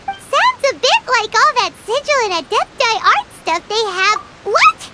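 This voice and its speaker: A woman voicing a tiny, excitable, boastful fairy guide in a video game, with a squeaky childlike voice. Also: measured pace, very noisy, exaggerated voice. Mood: indignant, boastful. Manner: refers to self in third person. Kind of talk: animated video game character acting. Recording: game music behind the voice.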